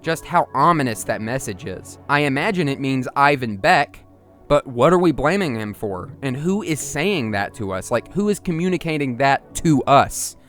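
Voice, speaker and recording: A man's voice: medium pitch, lively intonation, moderate pace, a bit bright. A man narrating an analytical video essay in a musing, explanatory and partly conversational manner. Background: music under the voice.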